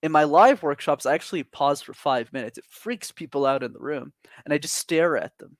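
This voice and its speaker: A male speaking coach giving advice in an online session, his fairly high voice rising and falling with a lot of animation. He talks with energetic emphasis, and the sound is dry and close, with no room in it. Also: brisk, dry recording. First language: not English. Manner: energetic, emphatic, lively pitch swings. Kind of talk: webinar advice talk.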